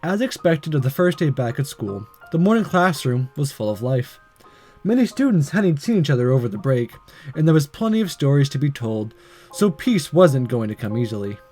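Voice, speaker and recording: A man hosting a video, reading a visual novel aloud in an expressive narrating style. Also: mid-range voice, normal speed, soft background music.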